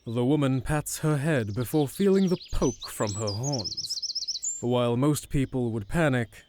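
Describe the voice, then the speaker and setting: A man narrates an audio drama from a script. His voice is medium in pitch, and there is faint noise behind it. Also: unhurried.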